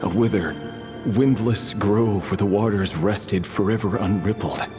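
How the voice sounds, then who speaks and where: A man voicing a reflective, dryly sardonic knight in a video game, speaking in a measured way. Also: fairly low pitch, natural voice. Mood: solemn, tender. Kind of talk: dramatic game voice acting, reading journals and dialogue. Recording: music under the voice. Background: music.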